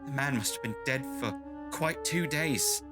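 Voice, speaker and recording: An actor playing a formal narrator who gives a grim, measured report in a radio drama. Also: male voice, medium pitch, close to an everyday voice. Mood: grave, ominous. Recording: loud brass music under the voice.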